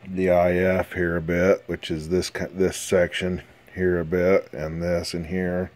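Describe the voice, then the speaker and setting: Low-voiced man, casual and halting. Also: flat delivery, a little mellow. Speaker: hobbyist radio restorer. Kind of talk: casual narrated progress video. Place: indoors at an electronics workbench. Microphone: handheld phone.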